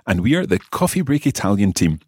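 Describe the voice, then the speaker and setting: Lively low-voiced male language teacher. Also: fairly quick, slightly rough, no echo, clean studio sound. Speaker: language teacher and podcast host. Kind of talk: podcast lesson intro.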